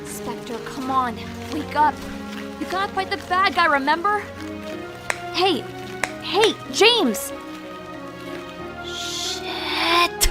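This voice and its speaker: A woman's voice: very high voice, drawn-out words, long pauses, heavily acted. A woman playing a feisty young crime-fighting sidekick in a dramatic, sarcastic audio drama style. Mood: pleading. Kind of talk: audio drama acting with first-person narration. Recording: echoey long reverb, music underneath.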